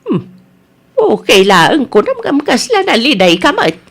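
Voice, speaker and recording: An actress with a Filipino accent playing a concerned older mother in a radio drama, gentle but frank. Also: high-pitched, wildly swooping pitch, a little slow, heavily acted. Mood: concerned, admonishing.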